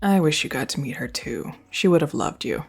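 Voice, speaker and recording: A woman's voice: sits low, big pitch swings, a little fast, vocal fry, a little mellow, slightly rough, soft background music. A young woman performing a scripted girlfriend roleplay, speaking close to the mic in an affectionate, teasing and reassuring way.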